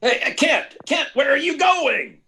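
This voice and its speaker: A man playing a flustered, blustering newspaper editor in an old-time radio drama, stammering and excitable. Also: extremely high pitch, measured pace, exaggerated voice. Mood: agitated. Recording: live remote performance, clear background hiss.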